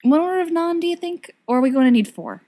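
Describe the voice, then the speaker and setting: A woman's voice: extremely high pitch, expressive, quick pace, mellow, pitched above the performer's own voice. A woman voicing a wry, teasing, fond young woman in audiobook-style dialogue. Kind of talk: audiobook-style dialogue reading of fan fiction.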